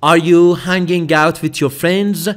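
Man, English teacher, slow careful delivery, repeats each phrase, high voice. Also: smooth. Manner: repeats phrases slowly, lively up-and-down intonation. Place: voice-over for slide video.

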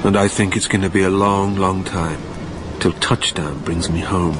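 A man playing a weary dead man who narrates his own haunting in a reflective, measured, lyrical manner in an audio drama. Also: low voice, fairly even intonation, noisy background, close to an everyday voice. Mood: melancholy, reflective.

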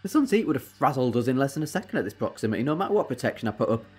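A woman playing a brisk, eccentric, exasperated time-travelling alien adventurer in a sci-fi audio drama, with a teasing, emphatic manner. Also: a bass voice, very animated, a little mellow, husky, exaggerated voice. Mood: brisk, puzzled.

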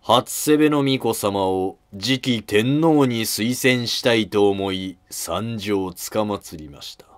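A man playing a deferential, scheming middle-aged minister of ancient Japan in a historical drama, with measured, formal archaic honorifics. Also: on the low side, no room sound, clean studio sound. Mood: calm persuasion.

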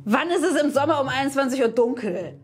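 High-voiced young woman, inquisitive and explanatory. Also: lively intonation, fairly quick. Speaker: player questioning stories to spot lies. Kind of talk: casual banter. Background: music.

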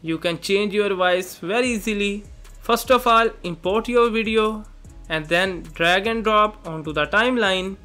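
A man with a notably high voice gives step-by-step software instructions. His tone is bright and forward, his phrasing simple, and music plays faintly underneath. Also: unhurried. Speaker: software tutorial presenter. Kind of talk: step-by-step tutorial narration. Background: background music.

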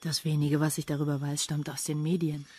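Low-voiced, dry female detective. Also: level tone, brisk, mellow, breathy edge. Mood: dry, cool. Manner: dry, sardonic, unimpressed. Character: cool, quick-witted female police detective. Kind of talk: German radio crime drama acting.

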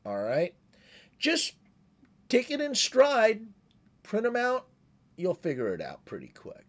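A man's voice: sits high, huge pitch swings, slow pace. A man in his sixties giving a conversational, instructive amateur radio tutorial as the presenter. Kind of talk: explanatory tutorial with demonstrated radio calls.

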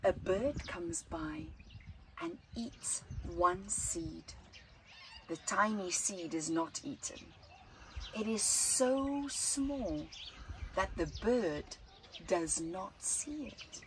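A middle-aged woman reading a children's picture book aloud outdoors, phrasing deliberately with dramatic emphasis and hushed words. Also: on the low side, lively intonation, background noise. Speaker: storytime reader. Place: outdoors at a flower farm. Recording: outdoor ambience with birdsong.

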